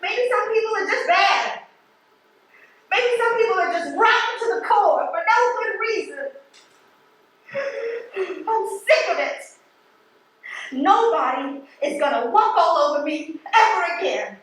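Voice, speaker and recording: High-pitched, bitter woman supervisor on stage. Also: lively intonation, unhurried, pauses often, fairly bright tone, heavily acted. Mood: indignant. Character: bitter, fed-up female post office supervisor, betrayed and indignant. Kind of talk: stage play acting. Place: theatre stage, recorded from afar. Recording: echoey stage, distant microphone.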